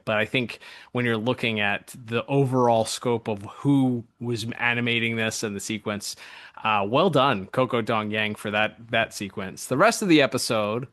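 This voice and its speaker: A man's voice: fairly low pitch, moderate pace, a bit bright, no room sound. A man co-hosting a podcast in a casual, enthusiastic review chat, speaking informally. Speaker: podcast co-host.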